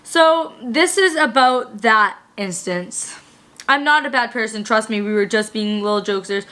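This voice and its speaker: A woman's voice: fairly high pitch, moderate pace, pushed and bright. A woman vlogger chatting casually and reading out old school emails, giggly and rambling, full of fillers.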